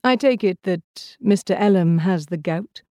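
A woman's voice: lowish voice, measured pace, warm tone, no room sound, close to an everyday voice. A woman voicing a sober, correct middle-aged male solicitor in an audiobook, formal and restrained. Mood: dry.

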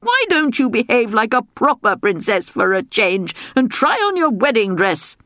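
A loud, indignant old-fashioned storybook king, bald and put-upon, roaring his complaints in a high, lively, strained voice, as a woman narrator puts him on. The sound is close and dry. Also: normal speed, no room sound. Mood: moaning. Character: blustering bald male king, grumpy and complaining.

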